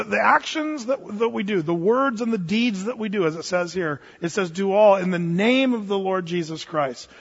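Male pastor, high-ish voice, conversational sermon with playful asides; muffled sound in a reverberant sanctuary. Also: fairly bright tone, breathy edge. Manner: conversational, joking asides, acts out quoted voices. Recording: dull sound with long hall reverb.

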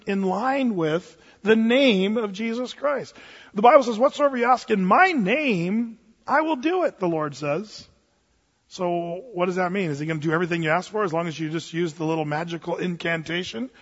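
High-voiced pastor in an echoing hall. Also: male voice, a bit bright, slightly rough. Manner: conversational, joking asides, acts out quoted voices. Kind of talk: sermon. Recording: dull sound with long hall reverb.

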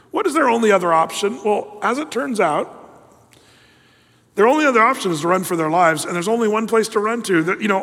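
A man's voice: high voice, big-room sound. A man preaching a sermon, reading scripture aloud and acting out the quotes emphatically. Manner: emphatic, acts out quotes in lively voices. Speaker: pastor.

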